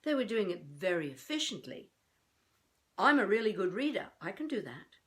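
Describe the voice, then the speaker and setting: An older woman from Australia giving improvised instruction as a voice coach, speaking deliberately in spaced phrases with theatrical emphasis. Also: mid-range voice, very animated, a bit bright. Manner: deliberate, with spacious pauses between phrases. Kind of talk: improvised instructional talk to camera.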